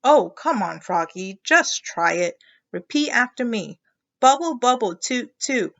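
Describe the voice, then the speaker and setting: A storybook mother frog, acted out by a woman, encouraging and patient but firm. She coaxes and instructs in a bright, lively voice pitched fairly high. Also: a little slow, vocal fry, dull audio, exaggerated voice. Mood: coaxing. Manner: coaxing, instructing step by step.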